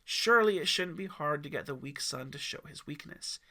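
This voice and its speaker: A man's voice: on the high side, expressive, fairly quick, pushed and bright. A man narrating an audiobook as a dramatic, suspenseful storyteller, reading scripted prose expressively.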